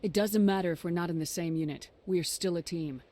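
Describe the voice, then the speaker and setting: An actress playing a steady military commander in a video game, direct, commanding and reassuring. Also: female voice, lowish voice, brisk, lightly acted. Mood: firm, reassuring. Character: steady, confident military commander, an adult woman. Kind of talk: video game dialogue acting.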